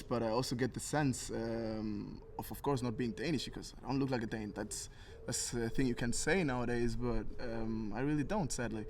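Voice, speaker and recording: Young male interview guest, Tanzanian origin; earnest, casual, medium pitch, ambient noise behind. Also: a little mellow. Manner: reflective, earnest.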